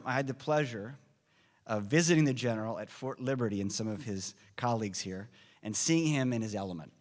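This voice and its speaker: A man at a podium delivers a formal, earnest introduction in a steady, mid-pitched voice. His words ring out with the long reverb of a big conference hall. The delivery is measured and prepared. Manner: earnest, measured delivery, reads off names and figures. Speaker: speaker introducing the guest at a conference forum.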